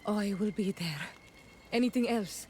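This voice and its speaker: An actress playing an earnest, gentle young island tribeswoman in a video game, speaking in measured, formal phrases. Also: medium pitch, slight background noise, natural voice. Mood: earnest, forthright. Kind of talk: video game romance dialogue acting.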